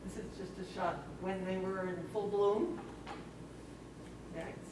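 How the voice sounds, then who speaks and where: A woman presents her photos casually to a club at an unhurried pace. Her delivery is halting and informal. She is picked up from far off in a very echoey room with clear background noise. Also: medium pitch, fairly even intonation. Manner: halting, informal, chuckling between remarks. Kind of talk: casual slide commentary. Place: library meeting room, recorded from afar. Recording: very echoey room, distant mic, noisy.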